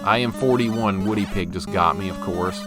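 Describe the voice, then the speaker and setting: A man's voice: sits low, normal speed. A man, chatting casually and rambling while he streams a retro game. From Alabama. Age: 40s. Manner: rambling, self-interrupting, chatty. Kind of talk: casual live-stream chat while playing. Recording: game music playing behind.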